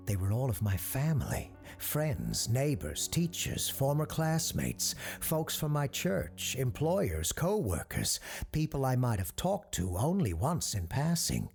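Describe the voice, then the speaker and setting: A man acting as the grave, measured narrator of an eerie audio drama about a haunted attraction. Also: on the low side, gravelly, a little mellow, exaggerated voice. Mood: wistful, unsettled. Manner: measured, deliberate, storytelling.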